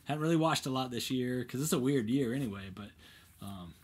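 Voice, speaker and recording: A man's voice: medium pitch, lively intonation, fairly quick, slightly rough. A man in his forties chatting casually as a co-host, with an informal, slangy, buddy-like way of talking. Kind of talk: casual chat between friends. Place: indoor studio room full of guitars, seated at a table.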